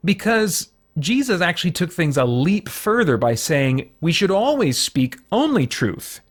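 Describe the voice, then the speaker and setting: Higher-pitched man, casual Bible teacher. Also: big pitch swings. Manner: casual, halting, thinking aloud with frequent asides. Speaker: host teaching a Bible study to an online audience. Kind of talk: informal sermon, conversational Bible teaching.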